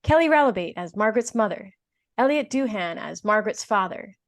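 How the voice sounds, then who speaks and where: A woman's voice: mid-range voice, expressive, fairly quick. A woman delivering scripted announcements and credits in an old-time radio style.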